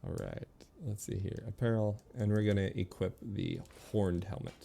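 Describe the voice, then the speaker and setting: A man giving casual video game commentary, thinking aloud in a relaxed, muttering way as he makes decisions. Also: fairly low pitch, moderate pace, vocal fry, a little hiss or hum.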